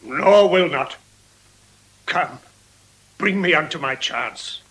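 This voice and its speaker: An actor playing a proud, ardent warrior prince, declaiming verse in a grandiose classical drama style. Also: male voice, fairly high pitch, animated, slow pace, a bit bright. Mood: resolute. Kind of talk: declamatory Shakespearean verse acting.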